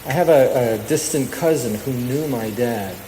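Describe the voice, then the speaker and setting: A man hosting a live radio show, talking unscripted on stage in a halting, reflective way. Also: medium pitch, mellow. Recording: echoey hall reverb, noticeable background noise.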